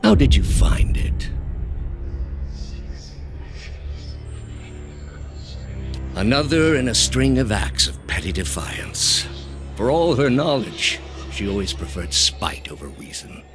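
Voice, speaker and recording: An actor playing a grave, zealous older male inquisitor leader in a video game, speaking in a measured, commanding way. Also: medium pitch, very animated, fairly bright tone. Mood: cold, disdainful. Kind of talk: scripted video game dialogue. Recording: music under the voice.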